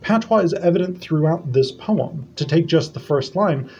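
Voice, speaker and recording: A man presenting an academic conference paper, reading it in a measured and formal way. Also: fairly high pitch, lively intonation, darkish tone, faint music behind. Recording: dull lo-fi audio.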